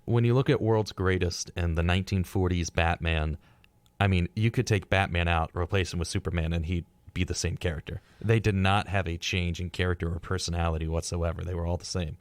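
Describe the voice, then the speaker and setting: A man's voice: low voice, fairly even intonation, brisk, dry recording. A man co-hosting a casual pop-culture discussion, speaking as an earnest, opinionated fan. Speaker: podcast co-host.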